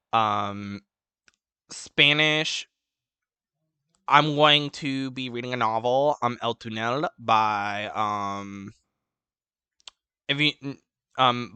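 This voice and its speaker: Bright, crisp-voiced man rambling through a vlog. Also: medium pitch, no echo. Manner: rambling, full of ums and restarts; enthusiastic about languages. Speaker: language-learning channel host. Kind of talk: casual unscripted vlog update.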